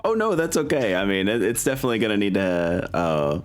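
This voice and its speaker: A man's voice: on the low side, very animated, brisk. A man speaking informally and with swearing as the game master of a tabletop role-playing session. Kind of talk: casual tabletop game narration.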